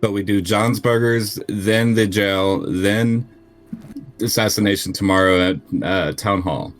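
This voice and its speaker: A man's voice: fairly low pitch, fairly even intonation, a little slow. A man playing a tabletop role-playing game, chatting casually with the group. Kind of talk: casual chat.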